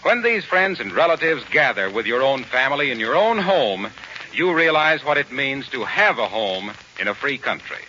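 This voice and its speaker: A man's voice: medium pitch, big pitch swings, normal speed, bright, forceful tone. An American man announcing in a scripted 1940s radio style. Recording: muffled, faintly noisy 1940s radio recording.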